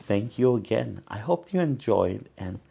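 Male storytime teacher, Salvadoran accent, low-pitched and unhurried, careful halting read of a picture book with friendly-aimed asides for kids. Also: expressive, creaky, warm tone, husky. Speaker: children's storytime teacher and host. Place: indoor room.

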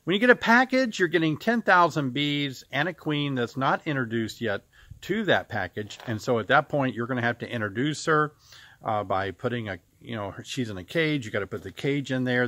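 A middle-aged American man from Illinois giving a casual beekeeping lesson outdoors to a close camera, relaxed and explanatory with frequent pauses. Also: mid-range voice, dry recording. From United States. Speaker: beekeeping teacher. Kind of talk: casual instructional talk to camera. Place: outdoors beside a farm building. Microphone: camera at close range.

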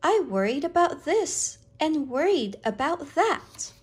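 A woman reading a picture book aloud to children, with expressive, deliberate, dramatic phrasing. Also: high voice.

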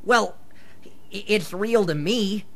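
A man's voice: high voice, slow, exaggerated voice. Man acting an earnest, nerdy teenage boy. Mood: evasive. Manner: hesitant, earnest, wordy. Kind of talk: live radio play acting.

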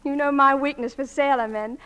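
A breezy, high-voiced young daughter. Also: female voice, steady tone, fairly quick, fairly bright tone. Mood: excited. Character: breezy, affectionate eighteen-year-old university student daughter. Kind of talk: 1940s-style radio play acting.

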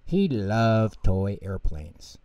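A man's voice: on the low side, big pitch swings, extremely slow, warm tone, dry recording, distant mic, highs cut off. A man reading a scripted children's bedtime story as the narrator.

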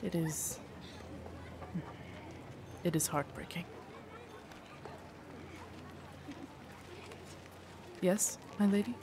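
A woman playing a loyal, gentle royal knight in an audio roleplay, formal, deferential and soothing in her measured speech. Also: lowish voice, gravelly, noisy background. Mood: sorrowful, tender. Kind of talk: immersive audio roleplay acting.

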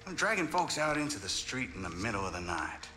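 Smug corporate heavy for a game: sharp, slow, sarcastic drawl, music underneath. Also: male voice, medium pitch, very animated. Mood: mocking. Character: smug corporate security chief, sardonic and menacing. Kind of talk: video game cutscene acting.

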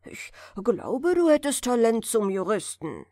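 A woman's voice: fairly high pitch, sing-song, measured pace, warm tone, no echo, studio quality. A woman voicing a jolly young male student in a storybook, friendly and mock-earnest as the student gives advice. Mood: earnest, encouraging.

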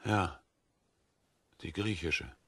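An actor playing a gentle, earnest, naive wandering prisoner in an audio drama, speaking plainly. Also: male voice, low-pitched, extremely slow, breathy edge, no echo, exaggerated voice. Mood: meek, plain.

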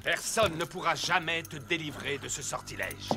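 An actor playing a scheming, menacing old magician in a fairy-tale cartoon, with a mocking, theatrical, drawn-out delivery. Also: male voice, on the high side, expressive. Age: older. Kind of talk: French animated fairy-tale cartoon acting. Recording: loud background music.

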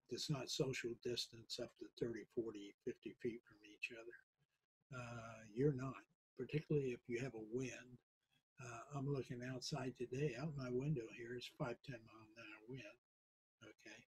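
A man's voice: mid-range voice, husky, low-quality recording. A man in his seventies, talking to camera from his living room, earnestly urging and lecturing his listeners, repeating points for weight. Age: 70s. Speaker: podcast host. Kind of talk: earnest direct-to-camera appeal. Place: home living room, indoors.

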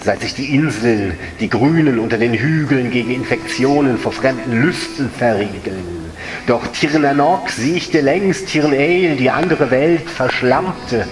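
A man's voice: mid-range voice, a little slow. A man, an author, reciting his own literary text in a solemn, declamatory manner. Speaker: author reading own work. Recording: echoey room, distant mic, music underneath. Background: music.

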